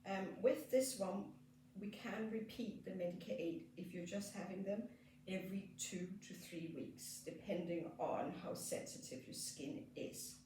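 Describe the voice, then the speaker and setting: A woman with a Danish accent, a skin therapist gently explaining a treatment as she works, with frequent fillers. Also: mid-range voice, measured pace, far from the mic, noisy background. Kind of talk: treatment demonstration. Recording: echoey room, off mic.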